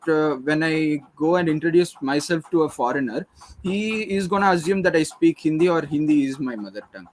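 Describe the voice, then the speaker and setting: A man's voice: a little high, low-quality recording. A young man with an Indian English accent making his case in a group discussion, earnest, with many fillers and restarts. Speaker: debate participant.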